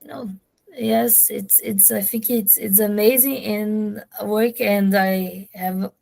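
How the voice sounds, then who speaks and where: A woman speaking non-native English as an interviewer, haltingly searching for words in a casual conversation. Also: medium pitch, steady tone, gravelly, darkish tone, no echo. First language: not English.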